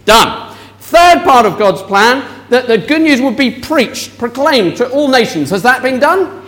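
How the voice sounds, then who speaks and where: A man's voice: very high voice, big pitch swings, a little slow, a bit bright. A man leading an interactive children's talk in church, asking the audience questions.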